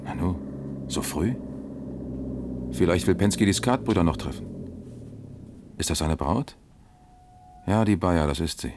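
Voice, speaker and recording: An actor playing a calm East German police detective in a crime radio play, terse and matter-of-fact. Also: male voice, deep voice, unhurried, long pauses, slight background noise. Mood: calm, watchful.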